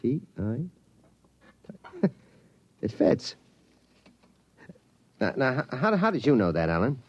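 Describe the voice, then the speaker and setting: A man's voice: medium pitch, wildly swooping pitch, slow, darkish tone, breathy edge, dry recording, exaggerated voice. A middle-aged man playing a genial small-town father in an old radio drama, musing and folksy. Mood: amused, musing. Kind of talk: 1950s radio drama acting. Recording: muffled, dry vintage radio recording.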